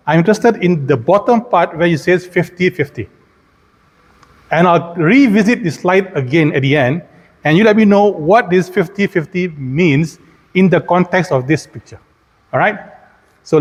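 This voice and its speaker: A middle-aged man with a Malaysian accent giving a conference keynote, putting rhetorical questions to his listeners. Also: fairly high pitch. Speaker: keynote speaker, engineering professor. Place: large indoor conference hall, speaking at a podium. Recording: hall reverb from a PA.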